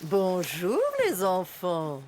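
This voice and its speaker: A woman voicing a genial, unhurried lady seal in a cartoon dub. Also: on the low side, lively intonation, a little mellow, slight background noise. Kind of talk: cartoon dubbing.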